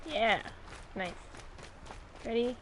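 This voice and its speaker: A woman streaming a video game, chatting casually and reacting loudly to the play, her voice hoarse and scratchy from illness. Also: fairly high pitch, very slow. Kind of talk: casual gameplay commentary.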